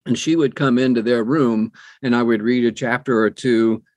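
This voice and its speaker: A man in his sixties talks conversationally as a guest, sharing personal stories. He has a medium-pitched voice and a storyteller's habit of acting out dialogue. The sound is dry and close, with little room in it. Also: moderate pace, no echo. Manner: animated storyteller who acts out quoted dialogue. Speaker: guest, audiobook narrator.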